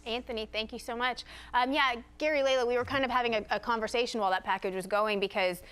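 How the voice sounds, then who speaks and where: Higher-voiced woman news anchor. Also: steady tone, fast, a bit bright, clear, resonant tone. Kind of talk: scripted news narration and studio conversation.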